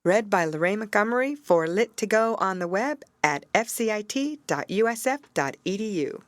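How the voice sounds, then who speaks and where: A woman narrating a fairy tale as an audiobook reader, in an expressive scripted storytelling style. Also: medium pitch, normal speed, dry recording, clean studio sound.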